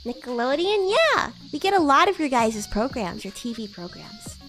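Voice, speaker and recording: Woman as a high-voiced bratty young demon girl. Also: sing-song, normal speed, fairly bright tone, soft background music. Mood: chatty. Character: bratty, sweet young female demon classmate from another world. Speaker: VTuber streamer. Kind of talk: casual in-character roleplay chat.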